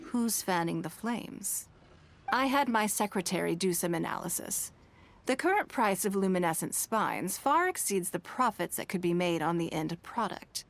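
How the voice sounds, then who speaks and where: A woman voicing a composed, shrewd, wealthy business lady in a video game, speaking in a measured, elegant, formal way. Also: mid-range voice, vocal fry. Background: background music.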